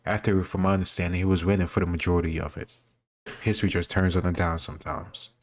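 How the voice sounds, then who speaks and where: Low-pitched man, casual rambling commentary. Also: level tone, quick pace. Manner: informal, rambling, frequent "like". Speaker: host reacting to a history quiz video. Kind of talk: casual commentary, thinking aloud.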